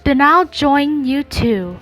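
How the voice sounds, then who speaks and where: A woman gameplay streamer reads dialogue aloud and adds casual commentary. Her voice is high, and she goes slowly and haltingly. Faint music plays behind her. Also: lively intonation, fairly bright tone.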